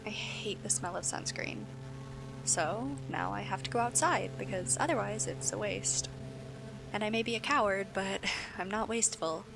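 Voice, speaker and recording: Woman acting an anxious, creaky-voiced homebody on air. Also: medium pitch, over music, close to an everyday voice. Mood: wry. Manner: halting, self-deprecating, confiding. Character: anxious, housebound woman bravely chattering about makeup. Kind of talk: post-apocalyptic radio broadcast monologue. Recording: noisy radio transmission, ambient hiss.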